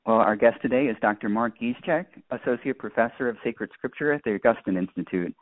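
A man's voice: mid-range voice, a little fast, no room sound, narrow, tinny audio. A man hosting a radio interview, asking questions and presenting the show.

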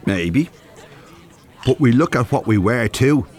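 A man's voice: medium pitch, expressive, takes it slowly, darkish tone. An actor playing a plain-spoken, good-hearted older retired binman with a north Dublin accent in a radio drama. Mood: indignant. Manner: earnest, folksy, bantering. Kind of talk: naturalistic radio drama acting.

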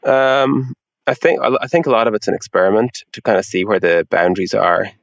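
A low-pitched man with a gravelly edge talks through his thinking as an interview guest. He is informal and explanatory, leaning on casual filler phrases. The recording is dry and a bit dull. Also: brisk, dry recording. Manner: informal, explanatory, frequent "you know" and "like". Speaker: interview guest, tech product executive.

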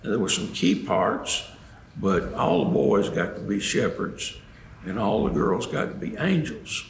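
An older man with a gravelly voice tells holiday stories to a church group in a deliberate, folksy way with long pauses and emphasis. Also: lowish voice, lively intonation. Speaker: storyteller. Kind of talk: informal holiday storytelling talk to a church group. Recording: roomy echo, faint background noise.

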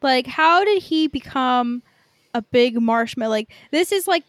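High-voiced woman, chatting casually. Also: lively intonation, measured pace, dry recording, studio quality. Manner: informal, sweary, peppered with "like". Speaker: podcast co-host. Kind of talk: casual movie chat.

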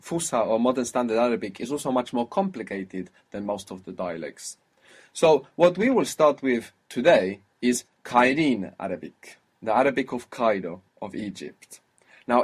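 A man teaching a one-on-one language lesson, patiently explaining and spelling out sounds slowly. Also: medium pitch, husky. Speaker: Arabic teacher.

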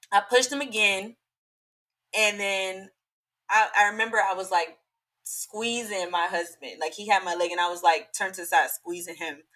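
Young woman, bright slightly hoarse voice, chatty and animated, lots of "like". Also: mid-range voice. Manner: chatty, animated, many fillers. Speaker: podcast guest. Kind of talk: casual storytelling.

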